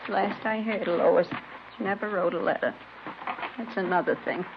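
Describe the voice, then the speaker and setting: A woman's voice: medium pitch, moderate pace, natural voice. Woman acting a middle-aged mother, worn down, bitter, plaintive, old radio drama, muffled sound, heavy noise. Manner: resigned, plaintive complaining. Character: weary, bitter working-class mother ashamed of her wayward daughter. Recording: noisy, muffled vintage radio recording.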